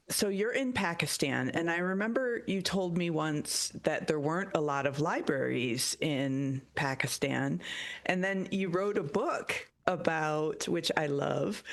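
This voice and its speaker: Female podcast host; slow, enthusiastic, meandering interviewer. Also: deep voice. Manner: enthusiastic, rambling questions. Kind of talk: casual interview.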